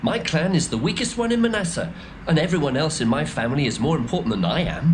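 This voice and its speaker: A man's voice: on the high side, animated, fast talker, background noise, close to an everyday voice. A man doing an anxious, doubtful Gideon. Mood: pleading doubtful. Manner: pleading, fearful, protesting.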